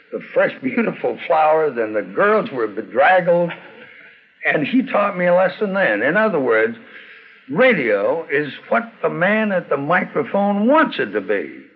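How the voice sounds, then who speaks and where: A man's voice: fairly high pitch, husky. An older man, a retired executive, reminiscing in an interview and telling anecdotes with quoted remarks. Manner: animated storytelling, quoting others. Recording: thin, phone-like old recording.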